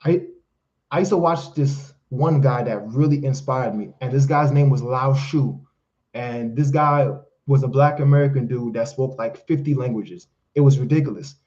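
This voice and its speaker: A man's voice: mid-range voice, moderate pace, a little mellow, highs cut off. A man whose first language is Haitian Creole, hosting a live Q&A as a life coach, giving emphatic, preachy advice and calling listeners fellas and brother. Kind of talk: live-stream Q&A, motivational advice.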